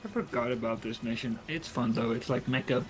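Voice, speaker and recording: A man who is not a native English speaker, chatting casually on a game stream in a halting, tentative way, often repeating himself. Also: medium pitch. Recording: music behind the voice. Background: background music.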